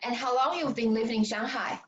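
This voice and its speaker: A woman from China hosts a casual chat. She speaks earnestly and at a measured pace, with a few hesitations along the way. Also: on the high side, highs cut off. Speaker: host.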